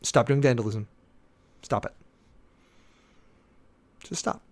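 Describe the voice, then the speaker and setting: A man's voice: mid-range voice, slow. A man reading aloud dramatically and breaking off into informal, opinionated commentary, with an emphatic, theatrical manner and frequent asides. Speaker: host, preacher giving commentary.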